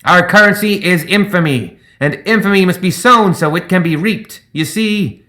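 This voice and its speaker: Man acting a pompous high-pitched gremlin pirate captain. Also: normal speed, sharp tone. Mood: grandiose. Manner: pompous, theatrical speechifying. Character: grandiose gremlin sky pirate captain, male, endlessly monologuing. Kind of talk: dramatized audiobook character voice.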